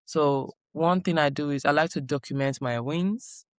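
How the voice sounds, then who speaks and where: Smooth-voiced woman sharing advice. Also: low-pitched, moderate pace, dry recording, studio quality. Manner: reflective, repeats key phrases for emphasis. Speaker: guest, doctoral researcher. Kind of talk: conversational interview.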